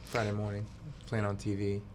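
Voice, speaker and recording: A man, a musician guest on a radio show, chatting in a relaxed, offhand way about his gigs. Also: lowish voice, moderate pace, slight background noise. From Massachusetts, United States. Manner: relaxed, laid-back, offhand. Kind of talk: casual interview chat.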